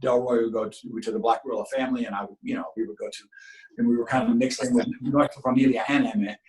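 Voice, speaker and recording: A middle-aged man born in Cuba, whose first language was Spanish, talking at an everyday pitch. He tells long stories in earnest, often restarting his sentences. His delivery sounds like a guest chatting on an interview show. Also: fairly even intonation, fast talker, darkish tone, poor audio. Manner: earnest, talks in long runs with many restarts. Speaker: guest actor. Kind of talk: casual interview storytelling.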